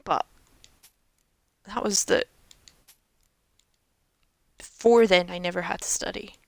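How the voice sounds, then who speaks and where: Young woman, chatty, rambling, self-mocking, dry close sound, mid-pitch. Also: fairly even intonation, takes it slowly, vocal fry, darkish tone, dry recording. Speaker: game streamer. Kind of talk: casual commentary over gameplay.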